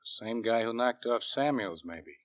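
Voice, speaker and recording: A man's voice: medium pitch, lively intonation, unhurried, dry recording, lightly acted. An American man playing a shifty army sergeant in a 1940s radio drama, speaking in slangy, hesitant, evasive phrases. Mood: nervous, evasive. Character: shifty, nervous army sergeant dodging questions. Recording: dull, dry 1940s radio recording.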